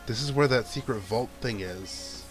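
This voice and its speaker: A man's voice: mid-range voice, moderate pace. A man with a stuffy nose giving casual commentary while playing a video game, thinking aloud about what to do next. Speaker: let's play gamer. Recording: game music playing under voice. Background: game background music.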